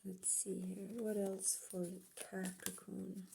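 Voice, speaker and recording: A woman with a Swedish accent doing a casual tarot reading, hesitant and full of pauses, speaking English as a second language. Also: mid-range voice, completely flat delivery, creaky, very noisy. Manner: hesitant, many ums and pauses. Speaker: tarot reader. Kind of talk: slow casual card reading.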